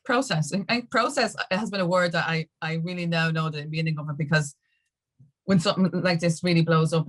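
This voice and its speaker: An Irish woman telling her story as a podcast guest in casual conversation, acting out what people said as she goes. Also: sits low. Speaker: podcast guest, music industry activist.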